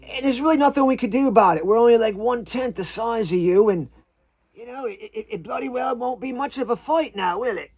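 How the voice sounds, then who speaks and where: A man's voice: extremely high pitch, fairly quick, gravelly, darkish tone, voice pushed up, heavily acted. A man voicing a chatty, cheeky iguana prime minister with a British accent and slangy, rambling, folksy talk in a comic story. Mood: nervous, resigned.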